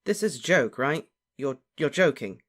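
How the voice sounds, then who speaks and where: A man's voice: high voice, very animated, a little fast, fairly bright tone, voice pushed up. A man voicing a practical, exasperated teenage boy wizard with an emphatic, impatient delivery for a storybook.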